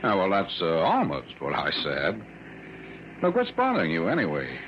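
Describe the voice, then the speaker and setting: A man's voice: low-pitched, wildly swooping pitch, normal speed. An actor playing a detective's genial, talkative businessman friend in a radio drama, chatty and coaxing. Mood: puzzled, exasperated. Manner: chatty, coaxing, exasperated at times. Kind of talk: old-time radio drama acting. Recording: muffled vintage radio recording, faint noise.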